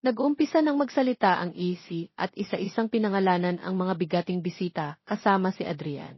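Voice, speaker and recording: A woman's voice: lowish voice, a little fast, husky, no room sound, highs cut off. A woman reading scripted, dramatic romance fiction as a story narrator.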